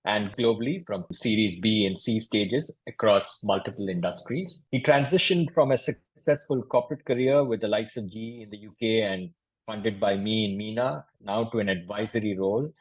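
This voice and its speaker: A man's voice: on the low side, normal speed, breathy edge, rough recording. A man hosting an interview, reading a prepared introduction of his guest. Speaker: podcast host.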